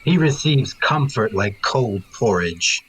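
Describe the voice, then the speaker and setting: Man as a sardonic nobleman, animated pitch. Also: takes it slowly, poor audio. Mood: sardonic mockery. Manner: sardonic, dry wit. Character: sneering, witty nobleman mocking his elders. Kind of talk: Shakespearean audio drama acting. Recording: faint noise, remote home recording.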